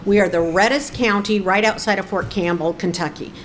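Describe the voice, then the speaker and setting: A woman's voice: mid-range voice, brisk, slight background noise. A middle-aged woman from Colorado, a guest on an interview, speaking in a firm, passionate, emphatic way. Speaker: guest, advocate.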